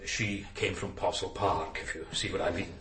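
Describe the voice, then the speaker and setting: Prim, defensive low-voiced father. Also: steady tone, normal speed, pushed and bright, reverberant. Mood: disapproving. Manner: prim, evasive, self-justifying. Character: stiff, defensive middle-class adoptive father. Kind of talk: naturalistic radio drama acting.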